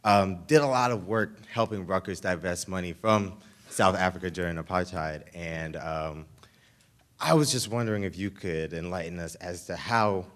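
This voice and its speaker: Deep-ish voice, young male student, unsure audience question with pauses. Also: a bit bright. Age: young adult. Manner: hesitant, filler-heavy.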